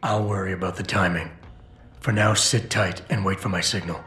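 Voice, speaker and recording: An American actor playing a stoic, gravel-voiced cyborg security operative in a video game, terse and deadpan. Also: male voice, deep voice, moderate pace. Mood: businesslike. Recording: roomy game audio with music.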